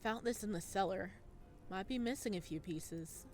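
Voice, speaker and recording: A woman voicing a quiet, cryptic male recluse from a visual novel, terse and understated. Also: mid-range voice, moderate pace, faint music behind, natural voice.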